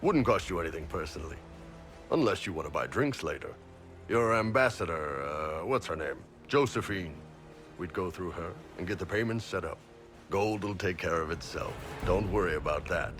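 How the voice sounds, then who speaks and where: Big horned merc boss from a fantasy game, real deep voice, bluff n jovial, music behind. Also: male voice, animated, moderate pace, fairly bright tone. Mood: confident, jovial. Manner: blunt, informal, jovial. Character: big horned male Qunari mercenary boss, bluff and candid. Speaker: mercenary captain and self-declared spy. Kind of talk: video game character dialogue.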